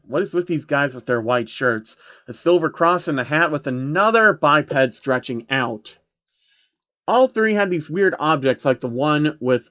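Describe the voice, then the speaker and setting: A man's voice: a little high, a bit bright, lo-fi sound. A man narrating an amateur story reading as the narrator of a piece of fan fiction.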